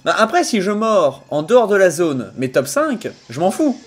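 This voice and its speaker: A man's voice: high-pitched, normal speed, slightly rough. Mock-ranting young male streamer, headset. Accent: Sarthe French. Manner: mock-outraged ranting, laughing often, bursts of loud excitement. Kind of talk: casual livestream chat while playing a video game. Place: small room at home.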